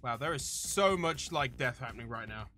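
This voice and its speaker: A man doing excitable live gameplay commentary, exclaiming theatrically and talking to his chat. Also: medium pitch, moderate pace. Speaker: video game streamer. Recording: game music behind.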